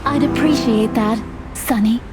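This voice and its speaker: A woman's voice: a little high, unhurried, natural voice. A woman playing a refined, polite and slightly coy female client in a noir detective game. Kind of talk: scripted noir game dialogue. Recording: music behind the voice. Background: music.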